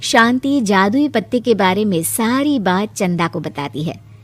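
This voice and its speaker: A woman's voice: medium pitch, animated, unhurried. A woman with a mid-range voice tells a cartoon folk tale as its narrator. It is scripted storybook reading, with music playing behind her.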